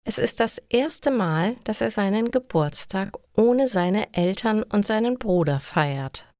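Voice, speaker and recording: A woman narrating simple scripted stories for language learners, speaking deliberately and enunciating carefully. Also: medium pitch, animated, a little mellow, dry recording, clean studio sound. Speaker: storyteller for German learners.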